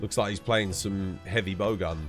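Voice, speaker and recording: A man's voice: low voice, steady tone, moderate pace, music in the background. A man, stuffed up with a cold, casually chatting and answering viewers while streaming a game. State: congested, stuffed-up nose. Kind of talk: casual live-stream chat.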